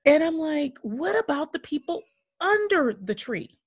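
Impassioned woman with a gravelly edge. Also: high voice, big pitch swings, unhurried, no room sound, studio quality. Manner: impassioned, emphatic repetition. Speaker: podcast host. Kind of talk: earnest podcast conversation.

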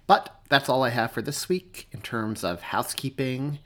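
Podcast host, male, average pitch, introduction and housekeeping delivery. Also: expressive, normal speed.

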